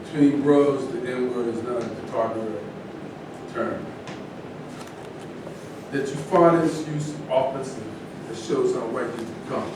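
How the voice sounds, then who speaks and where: An outspoken, militant male athlete, acted by a man, speaks with scorn in a stage play. He is distant from the microphone in a heavily echoing room with a noticeable rumble. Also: unhurried, pauses often, lightly acted. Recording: echoey room from far off, rumbling noise.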